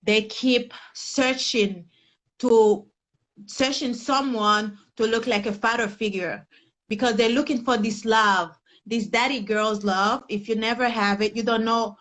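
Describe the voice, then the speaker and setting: Haitian-accented woman, higher voice. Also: fairly even intonation, unhurried, a bit bright, low-quality recording. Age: young adult. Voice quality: non-native English grammar, earnest. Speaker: guest, personal development coach. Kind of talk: conversational interview.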